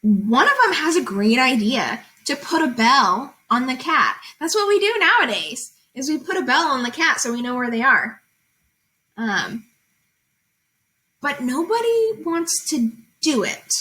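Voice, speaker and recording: Bright-voiced woman teaching casually. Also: sits high, sing-song, unhurried, rough recording. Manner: informal, conversational, asks guiding questions. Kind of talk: casual lesson, reading fables aloud and explaining them.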